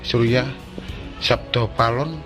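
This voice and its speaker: A man hosting a casual vlog outdoors, asking quick questions and repeating the answers back. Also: mid-range voice, steady tone, fairly bright tone. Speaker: vlog host. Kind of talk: casual field vlog interview. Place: outdoors at an old graveyard. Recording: music bed under the talk.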